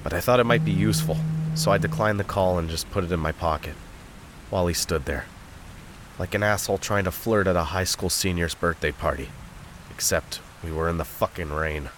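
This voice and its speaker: A man's voice: low-pitched, fairly quick, fairly bright tone, slight background noise, rough recording. A man acting a bitter, lost convict who narrates his grim story in a gritty audio drama. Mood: brooding. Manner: gritty, brooding, foul-mouthed. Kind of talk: scripted first-person noir-style narration in an audio drama.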